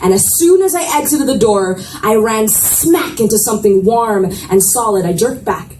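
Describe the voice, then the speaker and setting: A woman reading romance fiction aloud as expressive first-person narration, with dramatic pauses, at a live event. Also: a little high. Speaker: reader of a romance novel. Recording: echoey room, distant mic, noisy ambience.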